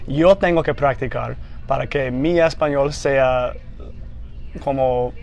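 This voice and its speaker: A young man with an American accent, a native English speaker, talking in a TV studio interview, halting and searching for words, a little nervous and laughing. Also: medium pitch, creaky, a little mellow, a little hiss or hum. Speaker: news anchor guest. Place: small TV studio, seated on couch.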